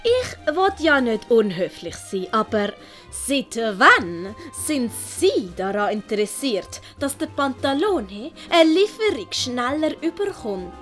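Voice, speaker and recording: A woman's voice: fairly high pitch, big pitch swings, takes it slowly, fairly bright tone, faint music behind, full character voice. A woman playing a polite but shrewd young servant woman in an audio play, courteous and sceptical. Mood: wary, shrewd. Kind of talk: commedia dell'arte audio play acting.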